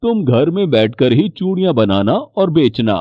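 A man voicing a kind, polite middle-aged bangle merchant in an animated story. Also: on the high side, moderate pace, dry recording, dull audio. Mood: kindly. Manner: polite, sympathetic.

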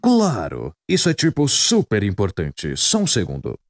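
A man's voice: on the low side, wildly swooping pitch, normal speed. A man with a Brazilian accent voicing a lazy, self-important talking cat mascot in a comedic cartoon fandub, pompous and dismissive. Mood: dismissive, unbothered.

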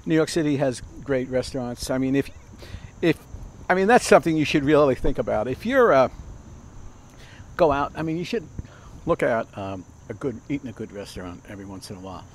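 A man's voice: medium pitch, lively intonation, brisk, poor audio. Older man, chatty cooking host, rambling, outdoors, lapel mic, faint outdoor noise. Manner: rambling, chatty, full of fillers and restarts. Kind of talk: casual cooking demonstration. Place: outdoor backyard by a fence.

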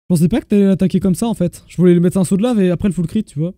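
A man streaming a game, bantering quickly and excitably with his friends in casual chat. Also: high voice, no echo. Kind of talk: casual gaming chat over voice chat.

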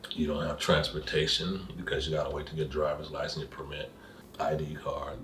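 A man's voice: rumbling low, little pitch movement, fairly quick, a bit bright. A man speaking emphatically in a documentary interview, telling his story, recorded with a roomy, distant mic.

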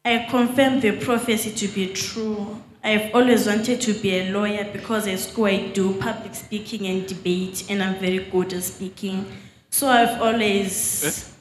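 A woman's voice: medium pitch, steady tone, a little slow. A teenage Zimbabwean young woman with a Zimbabwean accent giving testimony as a student, speaking into a handheld microphone. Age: teens. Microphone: handheld microphone held to her. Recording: echoey, reverberant hall with ambient noise.

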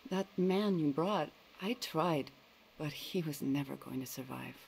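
An actress playing a weary, compassionate medic in a zombie video game, with a gentle, earnest way of speaking. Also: female voice, sits low, a little slow, gravelly, slight background noise, poor audio. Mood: sorrowful.

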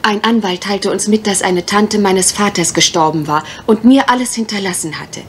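An actress in a mystery radio play as an anxious, well-bred young parson's daughter, speaking politely and hesitantly in careful detail. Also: female voice, mid-range voice, moderate pace, natural voice. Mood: resigned, recounting. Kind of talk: 1980s German mystery radio play acting. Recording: faintly noisy old radio recording.

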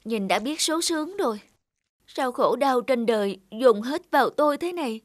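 A woman's voice: high voice, animated, normal speed, no echo. A woman playing an earnest, heartbroken young woman in a cartoon, speaking her thoughts with emotion. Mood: wistful, then pleading, despairing. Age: young adult. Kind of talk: cartoon dialogue and inner monologue. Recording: music under the voice. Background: music.

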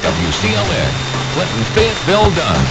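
A man's voice: medium pitch, wildly swooping pitch, moderate pace, over loud music, low-quality recording. A man announcing produced radio station identifications.

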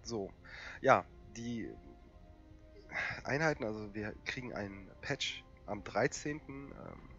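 A young man with a cold, hoarse and coughing, casually commentating a strategy game with lots of fillers. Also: fairly low pitch, slow pace, dull audio, faint music behind. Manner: relaxed and rambling, many fillers. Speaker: gaming channel host.

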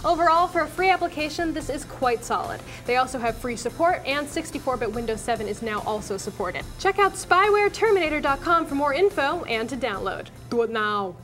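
High-voiced American woman presenting tech. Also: expressive, a little fast, fairly bright tone, faint music behind. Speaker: tech show co-host. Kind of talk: presenting software picks and tech chat. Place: indoor TV studio.

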